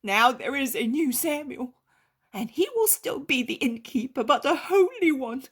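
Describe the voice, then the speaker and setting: A woman's voice: high voice, expressive, measured pace, slightly rough, voice pushed up, heavily acted. A woman voicing a repentant male innkeeper who pleads and praises fervently. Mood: joyful.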